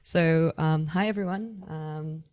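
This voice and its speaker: A woman's voice: low voice, slow, darkish tone. A young woman from Poland, giving an online science talk in a self-deprecating, joking manner. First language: Polish. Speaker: astrophysics speaker.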